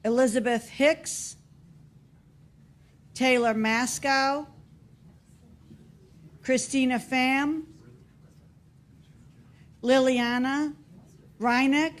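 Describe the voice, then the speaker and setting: A woman with a Boston accent announcing student awards at a formal meeting, deliberately reading out one name at a time. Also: high-pitched, fairly even intonation. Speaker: school staff member presenting student awards. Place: school board meeting room.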